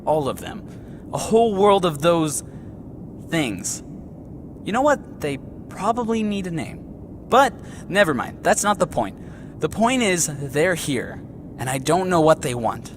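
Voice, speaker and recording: A man's voice: a little high, big pitch swings, unhurried, slight background noise. A man playing a guilty, evasive young student in an audio drama, stammering and defensive. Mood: grim, rambling.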